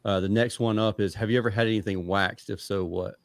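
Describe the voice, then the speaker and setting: A man's voice: fairly low pitch, fairly even intonation, quick pace, background noise. A man hosting a podcast, chatting loosely and jokingly with lots of fillers. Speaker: podcast host. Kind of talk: casual interview chat.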